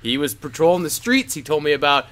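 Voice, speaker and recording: A man in his thirties riffing on a comedy podcast with a high, bright voice. His pitch swoops up and down animatedly as he rambles, swears freely and laughs often. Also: very animated, quick pace. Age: 30s. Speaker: podcast co-host. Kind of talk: casual comedic banter.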